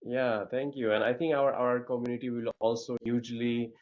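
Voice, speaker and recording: A man's voice: mid-range voice, level tone, normal speed, darkish tone. A man hosting an interview, introducing his guest and asking questions hesitantly, with many fillers. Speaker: community host. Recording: dull lo-fi audio.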